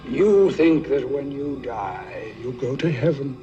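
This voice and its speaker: An actor playing a sinister old undertaker spirit, taunting and menacing in a gravelly, theatrical drawl. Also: male voice, mid-range voice, slow pace, natural voice. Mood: ominous, taunting. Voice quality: gravelly, creaky. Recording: muffled audio under loud film music.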